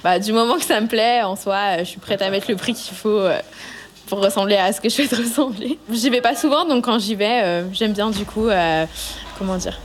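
A woman's voice: medium pitch, a little fast. A woman talking casually in an interview at a hair salon. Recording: hair dryer noise in salon. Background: hair dryers.

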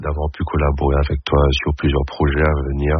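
Very deep-voiced man leaving a laid-back message. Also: quick pace, highs cut off, noisy background. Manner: relaxed, laid-back, drowsy delivery. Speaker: guest artist sending a recorded message. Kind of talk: casual recorded voice message.

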